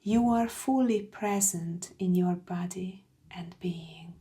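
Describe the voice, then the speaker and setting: A woman leads a guided meditation at a very unhurried pace. Her tone is soft and mellow, soothing and hushed, and her phrases often end in a breathy near-whisper. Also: on the low side. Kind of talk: slow guided meditation, scripted.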